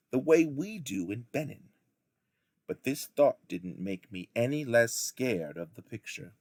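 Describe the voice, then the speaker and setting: A man reading a story aloud like a teacher to children, in measured, deliberate phrases with dramatic emphasis. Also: lowish voice, a little mellow, no echo, clean studio sound. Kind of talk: expressive storybook narration.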